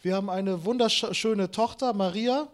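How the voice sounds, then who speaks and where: A man in his late twenties, originally from Kazakhstan, preaching a sermon with lively storytelling and self-mocking humor while walking around. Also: high-pitched, normal speed. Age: 20s. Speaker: pastor.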